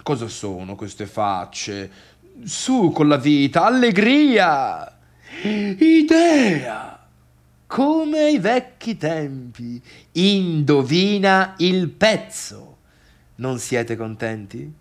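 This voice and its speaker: An actor playing a bitter, needy, boastful failed pianist in a halting theatrical radio monologue that swings between murmurs and outbursts. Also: male voice, a little high, wildly swooping pitch, heavily acted. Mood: goading, mock cheerful. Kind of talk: radio theatre monologue, addressing imagined listeners.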